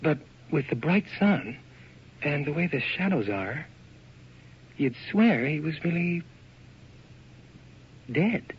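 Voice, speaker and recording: An actor playing an enthusiastic, slangy photographer's assistant in a radio drama, hip and wisecracking. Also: male voice, fairly high pitch, very animated, slow pace, pauses often. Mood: excited, eerie. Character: breezy, enthusiastic young male photographer's assistant. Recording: noisy, dull old radio recording.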